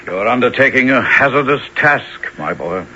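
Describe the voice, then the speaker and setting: A man's voice: medium pitch, expressive, moderate pace, bright, forceful tone, slight background noise. A man acting a grave, fatherly older attorney with a formal, avuncular manner in a period radio drama. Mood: concerned. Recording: dull lo-fi old radio sound.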